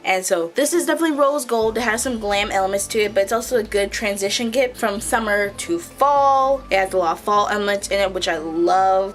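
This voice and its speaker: Chatty young woman over soft music. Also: mid-range voice. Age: young adult. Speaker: host of a craft planning video channel. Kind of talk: casual vlog voice-over to viewers. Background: background music.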